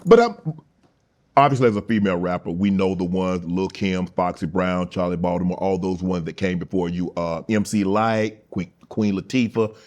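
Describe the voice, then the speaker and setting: A man's voice: deep voice, moderate pace, a little mellow. A middle-aged man hosting an interview, asking prodding questions and laughing big. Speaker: talk show host.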